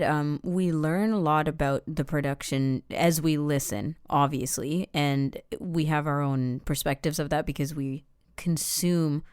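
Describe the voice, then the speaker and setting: Very low, smooth-voiced woman co-hosting. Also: no echo, studio quality. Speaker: podcast co-host. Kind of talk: casual analytical discussion.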